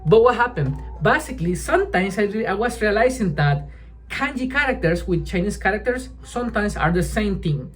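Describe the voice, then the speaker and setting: Spanish-speaking woman vlogging in english, mid pitch, lots of restarts and "right?", faint music under. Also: animated. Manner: halting, restarts often, keeps adding "right?". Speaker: language-learning vlogger. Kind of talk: casual chat to camera.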